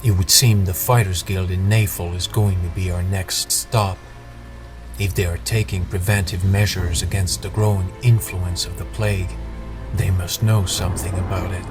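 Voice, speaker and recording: Male audiobook narrator, lowish pitch, measured fantasy storytelling, prominent music bed. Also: fairly even intonation. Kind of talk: scripted first-person fantasy audiobook narration. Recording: loud music behind the narration.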